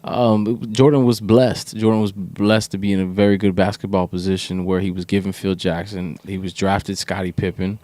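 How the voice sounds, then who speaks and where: A man's voice: sits low, flat delivery, normal speed, hardly any pauses, mellow, dry recording. A man in a casual sports debate, talking bluntly and with strong opinions, using slang and swearing. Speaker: podcast co-host.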